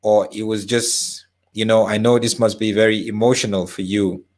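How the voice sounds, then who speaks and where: A man's voice: lowish voice, level tone, moderate pace, darkish tone. A male interviewer who asks sympathetic questions and rephrases them several times as he goes.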